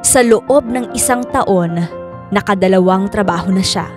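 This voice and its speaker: An actress with a Filipino accent playing a remorseful young woman who narrates her confession in a measured, sorrowful way for a radio drama. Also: mid-range voice, lightly acted. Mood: troubled. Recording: piano music behind the voice.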